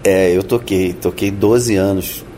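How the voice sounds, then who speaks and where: A man's voice: low voice, fairly even intonation, takes it slowly, mellow, slight background noise. A man from Rio de Janeiro with a Brazilian Portuguese accent, answering interview questions thoughtfully, with many restarts and tag questions. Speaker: interviewed musician.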